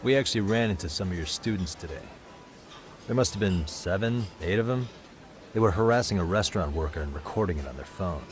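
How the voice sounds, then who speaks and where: An actor playing a cool, level-headed private detective in a video game, asking direct questions in a casual way. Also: male voice, low voice, lively intonation, brisk, slight background noise. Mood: matter-of-fact. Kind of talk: video game cutscene acting.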